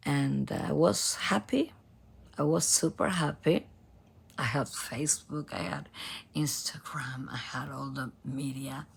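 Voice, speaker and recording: A woman in her forties from Argentina, with an Argentine Spanish accent, telling her own story in English in a strained voice that keeps breaking into whispers, halting and full of fillers. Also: very deep voice, gravelly, breathy edge. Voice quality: broken, strained voice dropping into whispers. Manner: halting, many fillers and restarts. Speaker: former singer. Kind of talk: personal storytelling to camera.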